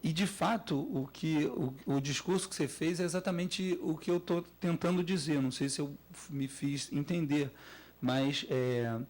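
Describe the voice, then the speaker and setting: A man with a Brazilian accent speaking off the cuff as an academic panelist, halting and repeating words as he develops his points. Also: on the high side, a little mellow. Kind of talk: spontaneous panel discussion.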